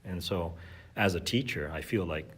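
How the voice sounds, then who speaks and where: Low husky-voiced man, earnest teacher. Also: moderate pace. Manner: earnest, thoughtful, with fillers and restarts. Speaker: teacher and guest. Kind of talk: reflective conversational talk.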